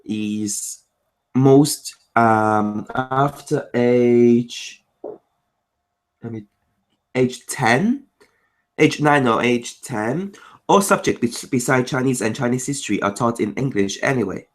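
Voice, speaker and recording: A man from Macau whose first language is Cantonese, answering interview questions in English in a casual chat, explaining in quick bursts and rattling off lists. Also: mid-range voice. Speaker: interview guest.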